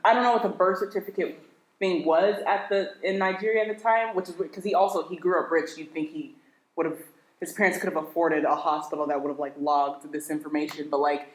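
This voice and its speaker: Young woman co-host, low-pitched, inquisitive and joking, casual talk in an echoey room. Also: fairly even intonation, a little fast. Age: 20s. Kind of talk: casual chat.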